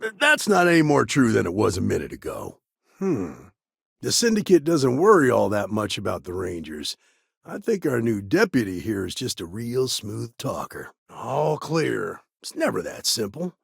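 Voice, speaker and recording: A man playing a level-headed, world-weary lawman ranger in a video game, with a gravelly, husky voice and a firm, plain-spoken way of talking. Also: medium pitch, huge pitch swings, normal speed, no echo, studio quality, heavily acted. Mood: matter-of-fact. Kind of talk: game character dialogue lines.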